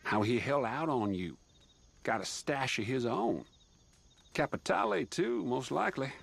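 An actor playing a shady frontier schemer in a video game cutscene, with a gravelly, husky voice. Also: male voice, medium pitch, animated, unhurried, a little hiss or hum. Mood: sly warning.

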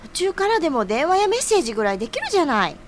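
A woman's voice: high voice, big pitch swings, rapid-fire, a little hiss or hum. A woman playing an impatient, bossy but caring friend in an audio drama, pouting and exclaiming. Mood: anxious, impatient.

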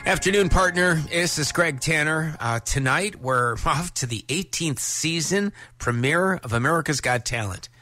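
A man's voice: on the high side, lively intonation, moderate pace, few pauses. A man in his fifties working as a country radio DJ, chatting casually in a folksy, jokey, conversational way between songs. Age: 50s. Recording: loud music under the voice. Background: music bed.

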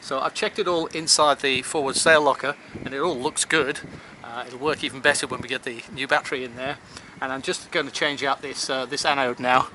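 A man's voice: mid-range voice, steady tone, a little fast, hardly any pauses, pushed and bright, slight background noise, low-quality recording. A man, narrating his own boat maintenance vlog in a chatty, step-by-step way, filmed on a handheld camera. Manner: chatty, matter-of-fact, explaining boat jobs step by step. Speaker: sailing vlogger. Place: on a sailboat and beside its hull in a boatyard. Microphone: handheld action camera.

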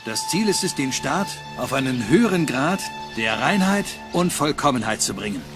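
A man's voice: mid-range voice, sing-song, normal speed. A man voicing a stern 18th-century founder of a secret order, reading his words solemnly in a documentary. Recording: voice-over under clear music.

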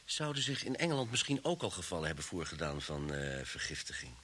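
A keen, plain-spoken detective in a radio play. His voice is bright and forceful, over faint tape hiss. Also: sits low, big pitch swings, fast, full character voice. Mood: pondering. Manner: direct, inquisitive. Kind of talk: old Dutch radio drama acting.

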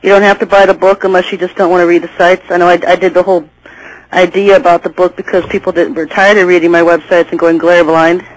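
A woman's voice: fairly low pitch, flat delivery, fast, low-quality recording. A woman hosting a call-in radio show, chatty and laughing easily, often restarting her sentences.

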